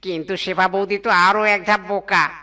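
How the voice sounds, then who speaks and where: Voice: medium pitch, steady tone, fairly quick, pushed and bright, natural voice. A performer voicing a scheming, falsely friendly male monkey with a wily, wheedling manner in a comic cartoon.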